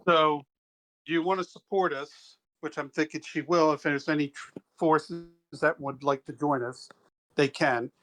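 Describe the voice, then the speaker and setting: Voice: mid-range voice, fairly even intonation, unhurried, a bit bright, low-quality recording. A player in a tabletop game, chatting casually and thinking aloud with hesitations.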